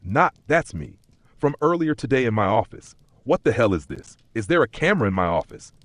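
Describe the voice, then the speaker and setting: A man playing a weary, doubtful corporate worker in a dark fantasy audio story, plain-spoken and skeptical. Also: mid-range voice, big pitch swings, fairly quick, no room sound, pitched above the performer's own voice, lightly acted. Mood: startled.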